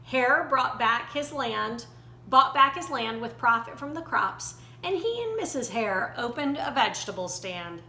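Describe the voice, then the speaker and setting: A woman storyteller reading a children's picture book aloud in an animated way. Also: on the high side, unhurried. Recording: roomy echo, faint background noise.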